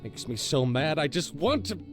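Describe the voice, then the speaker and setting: An actor playing a pompous, boastful self-styled hero in a video game, with grandiose, drawn-out delivery and insecurity underneath. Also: male voice, on the high side, very animated, fairly bright tone, soft background music. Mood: distraught. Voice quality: theatrical, exaggerated delivery. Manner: grandiose, mock-heroic, drawn-out emphatic phrasing.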